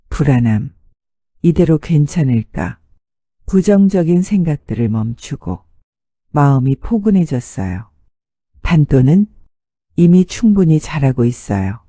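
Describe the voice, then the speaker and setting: A man narrating a children's bedtime story gently, in short, soft phrases. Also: a little high, no echo, clean studio sound.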